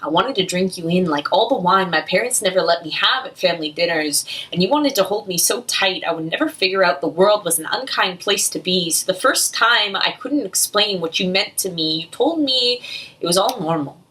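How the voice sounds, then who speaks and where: A young woman performs slam poetry in a slightly low-pitched voice, a little way off from the microphone. Her delivery is earnest and emotional, moving in the measured rhythm of spoken word. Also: animated, pushed and bright. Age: young adult. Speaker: slam poet. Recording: distant, off-mic amateur recording.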